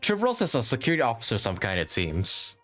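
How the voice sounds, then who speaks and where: A man's voice: mid-range voice, huge pitch swings, fast talker, pushed and bright. A man livestreaming a game with casual commentary, musing and thinking aloud. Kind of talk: casual live gaming commentary. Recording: game music behind.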